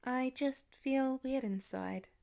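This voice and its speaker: A woman's voice: high voice, takes it slowly, gravelly, warm tone, pitched above the performer's own voice, full character voice. A woman voicing a hesitant, earnest young daughter in a storybook reading. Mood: sheepish. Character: hesitant, sheepish young daughter with some attitude.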